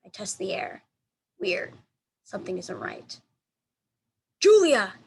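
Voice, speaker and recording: A woman teacher reading a children's storybook aloud in expressive narration. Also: fairly low pitch, measured pace. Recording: clear background noise.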